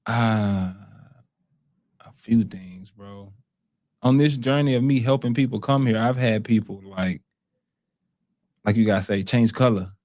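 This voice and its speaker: A man's voice: lowish voice, measured pace, long pauses, a little mellow, no room sound, clean studio sound. A man with an American accent talking earnestly and slangily as a guest in a casual interview. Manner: earnest, slangy, frequently says bro. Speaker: interview guest, content creator.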